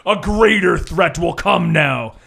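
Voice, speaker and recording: A man's voice: sits high, lively intonation, slow, creaky, pushed and bright, exaggerated voice. A man voicing a defeated, menacing multiversal villain in a tabletop game, making grandiose, ominous pronouncements. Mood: ominous threat.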